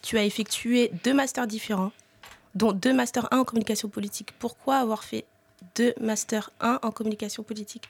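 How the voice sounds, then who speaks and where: A woman's voice: a little high, steady tone, fairly quick, gravelly. A woman hosting a podcast, introducing her guest and putting questions in a conversational interview style.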